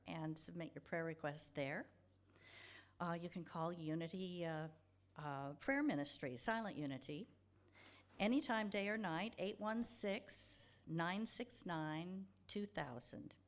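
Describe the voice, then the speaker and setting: Woman hosting a church service. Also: on the low side. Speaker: church service platform host. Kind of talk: informal welcome and devotional reading. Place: church sanctuary.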